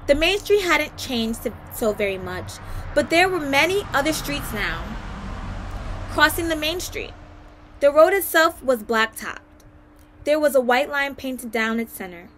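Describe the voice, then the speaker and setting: A woman's voice: high-pitched, big pitch swings, measured pace, fairly bright tone, slight background noise. A woman reading a story aloud as a guest narrator, careful, with occasional stumbles and self-corrections.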